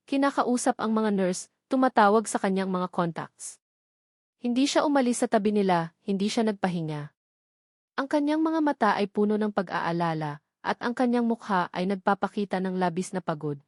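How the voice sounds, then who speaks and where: Woman, story narrator, dramatic scripted reading, sentimental, mid-pitched, dry close recording. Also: a little mellow, dry recording.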